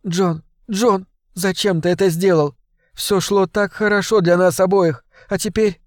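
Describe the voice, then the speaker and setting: A tearful woman pleads down the phone line, her voice chesty and husky, broken by sobs, put on by a man reading the story. The voice sits well above his normal register. The recording is dry and close. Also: high-pitched, steady tone, moderate pace, dry recording, voice pushed up, natural voice. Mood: pleading tearful. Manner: sobbing, pleading.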